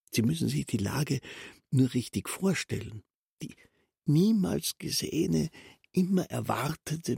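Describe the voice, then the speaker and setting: An actor playing a self-satisfied, long-winded official in a literary radio drama, musing softly and fervently in a husky, creaky voice. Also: male voice, mid-range voice, dry recording, studio quality, full character voice. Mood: hushed, confiding. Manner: soft, self-absorbed, long-winded explaining. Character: rambling, self-satisfied male castle official, quietly fervent.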